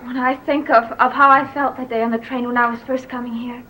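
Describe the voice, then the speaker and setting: An earnest, higher-voiced young bride. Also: female voice, level tone, brisk, pushed and bright. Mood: wistful, grateful. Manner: earnest, hesitant. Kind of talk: 1960s television soap acting. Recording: noisy old television soundtrack.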